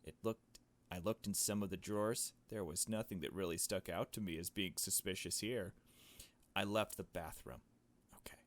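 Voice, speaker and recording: A man reading a visual novel aloud as a let's player, in hushed storytelling narration with casual asides. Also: low-pitched, normal speed.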